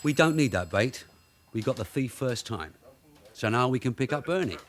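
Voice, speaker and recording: An actor playing a shrewd, hard-nosed long-serving police detective sergeant in a radio drama, matter-of-fact and dryly authoritative. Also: male voice, medium pitch, lively intonation, moderate pace, a little hiss or hum, lightly acted. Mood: dry, confident. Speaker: actor in the lead role.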